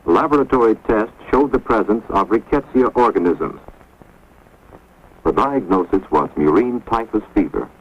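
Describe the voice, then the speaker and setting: A man giving measured, grave scripted narration for an instructional film, recorded on a dull, lo-fi 1950s film soundtrack. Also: fairly low pitch, expressive, husky. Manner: measured, grave, authoritative delivery.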